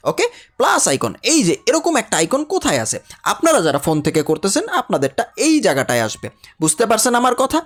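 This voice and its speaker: A man's voice: sits high, wildly swooping pitch, fast talker, fairly bright tone. A man with a Bangladeshi accent teaching a tutorial in a chatty, direct way, repeating instructions for emphasis. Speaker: animation teacher. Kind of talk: tutorial lesson with casual direct address.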